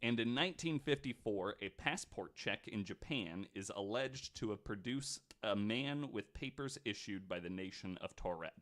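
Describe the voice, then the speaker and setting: A man's voice: on the low side, expressive, normal speed, few pauses, a bit bright. A man hosting a podcast, telling a story in casual chat, reading quotes aloud with lots of fillers. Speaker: podcast host.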